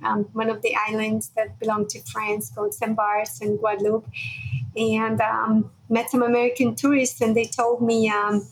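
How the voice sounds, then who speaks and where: A woman's voice: fairly high pitch, completely flat delivery, a little hiss or hum, rough recording. A woman in her forties from Hungary, telling her story as a guest in an interview, with many fillers. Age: 40s. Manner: brisk, many "you know" and "um" fillers. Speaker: guest, fashion entrepreneur.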